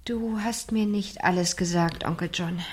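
A woman's voice: lowish voice, a little slow, slight background noise, lightly acted. A woman playing a persistent fifteen-year-old niece who questions pointedly, in a German radio crime drama. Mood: pressing, accusing.

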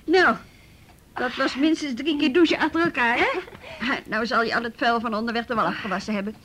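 Actress doing a practical caring woman in a radio play, highish voice, matter of fact questions, bit noisy. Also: a little fast, fairly bright tone, close to an everyday voice. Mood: amused, practical. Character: practical, sympathetic woman hosting a runaway friend. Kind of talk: naturalistic Dutch radio drama acting.

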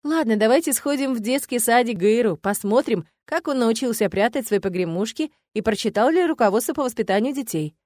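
A woman's voice: a little high, expressive, brisk, hardly any pauses, darkish tone, no room sound, studio quality, pitched above the performer's own voice, close to an everyday voice. A woman voicing a sly, teasing female ghost of a witch.

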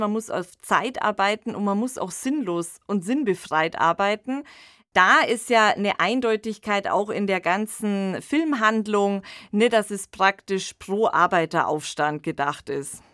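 Female host, medium pitch, conversational analytical delivery, close dry studio recording. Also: fairly bright tone, no room sound. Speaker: podcast host. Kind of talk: conversational analysis of a radio play.

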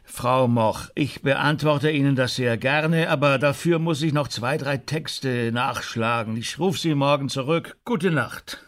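An actor playing an earnest, insistent rabbi turned sleuth in a radio play, speaking politely but hesitantly and probingly. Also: male voice, medium pitch, unhurried, few pauses, fairly bright tone, natural voice. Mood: polite, weary. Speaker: actor in a radio crime drama. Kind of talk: naturalistic radio play dialogue.

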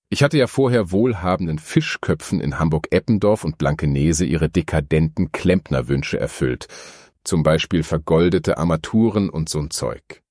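Man acting a deep-voiced, opinionated earnest plumber. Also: a little mellow, no echo, studio quality. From Dithmarschen, northern Germany. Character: earnest, grumbling male plumber, young father, scornful of luxury. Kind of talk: mock-documentary radio play interview.

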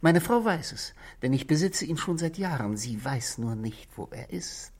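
An actor playing an anxious, brooding husband in a 1960s German radio play, polite and halting, with a hushed, breathy voice. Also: male voice, a little high, expressive. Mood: grimly resolved. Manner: hesitant, halting, apologetic, dropping to a whisper.

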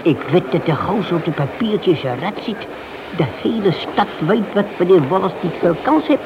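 An actor playing a wheedling, hesitant petty crook and police informer in a radio play. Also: male voice, sits high, lively intonation, normal speed. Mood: grateful, servile. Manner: hesitant, evasive, servile to his handler. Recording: dull, noisy old radio drama recording.

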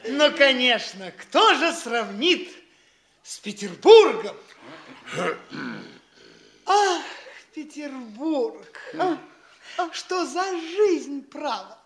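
A very high, bright voice that swoops about as a foppish young official from the capital. He is boastful and gallant in an affected, drawn-out stage-comedy style. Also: sing-song, exaggerated voice. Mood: boastful, excited. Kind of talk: theatrical classic stage comedy acting.

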